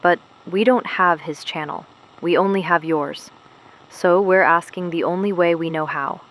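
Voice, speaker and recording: A low-voiced woman an actress in an audio drama hosts a secret resistance broadcast. She is solemn and principled, laying out each phrase with grave, deliberate care. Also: close to an everyday voice, rough recording. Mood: grave, sombre. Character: solemn, principled woman hosting a clandestine resistance radio show. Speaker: host of an underground resistance broadcast. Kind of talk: scripted audio drama monologue.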